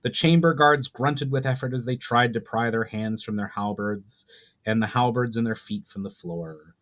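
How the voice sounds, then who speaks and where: A man reads fantasy fiction aloud. His voice has a medium pitch, and the delivery is dramatic storybook narration, like an author presenting his own book. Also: moderate pace, fairly bright tone.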